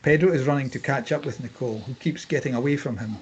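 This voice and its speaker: A man's voice: medium pitch, fast talker, dull audio, a little hiss or hum. A man reading screenplay stage directions as scripted narration, in clipped phrases.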